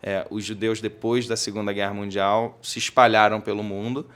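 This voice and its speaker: A man's voice: on the low side, level tone, normal speed, a bit bright, clean tone. A Brazilian man, a guest on a podcast, explaining in a casual conversational way with many fillers, recorded in a podcast studio. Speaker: podcast guest, tech executive.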